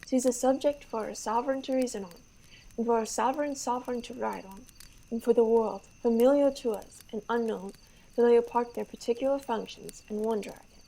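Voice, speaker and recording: Young woman as high-voiced, boastful French prince. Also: fairly quick, gravelly, mellow, poor audio. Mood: lofty praise. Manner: grandiose, declamatory. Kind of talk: radio theater Shakespeare acting.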